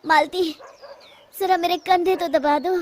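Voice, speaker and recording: A woman's voice: very high voice, steady tone, a little slow, darkish tone, a little hiss or hum. A woman voicing a put-upon, whining young husband and office worker in a cartoon story.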